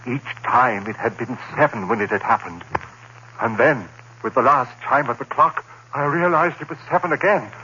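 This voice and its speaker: An actor playing a troubled, haunted man in an old-time radio horror drama, with a brooding, halting delivery. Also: mid-range voice, sharp tone, natural voice. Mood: brooding dread. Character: troubled, haunted man narrating his own descent into dread. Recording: dull old radio recording with hiss and hum.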